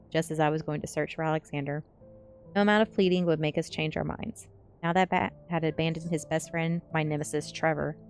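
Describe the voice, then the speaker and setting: A woman narrating a teen story aloud in an expressive audiobook style. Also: deep voice. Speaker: streamer reading a story aloud.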